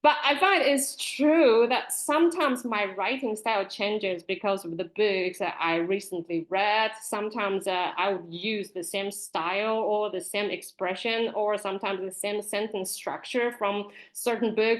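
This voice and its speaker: A woman's voice: medium pitch, poor audio. Earnest woman giving a webinar talk. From Taiwan. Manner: soft nervous laughs between phrases, earnest and candid. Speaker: teacher librarian and self-published author. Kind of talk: webinar presentation. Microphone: video call.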